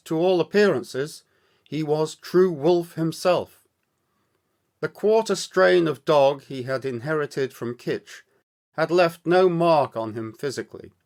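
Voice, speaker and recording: A man with a fairly high voice narrates a story at a very unhurried pace. His delivery is deliberate and theatrical, shaped like an actor reading for a children's storytime. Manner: measured, deliberate, dramatic phrasing.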